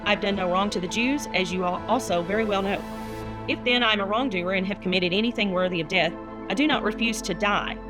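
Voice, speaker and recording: A woman's voice: mid-range voice, a little fast, music in the background. A woman from the United States, a minister, who reads scripture and chats. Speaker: guest minister and Bible reader. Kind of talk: Bible reading and casual chat.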